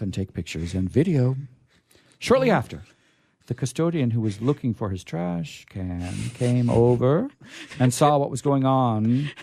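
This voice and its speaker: A man hosting a radio show, reading stories aloud in a theatrical, deliberate, emphatic way with casual asides. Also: medium pitch, vocal fry, darkish tone.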